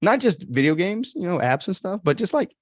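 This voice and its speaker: A man's voice: high voice, big pitch swings, brisk, no room sound, studio quality. An American man giving casual, off-the-cuff commentary as a podcast host, chatty and informal with reflective asides.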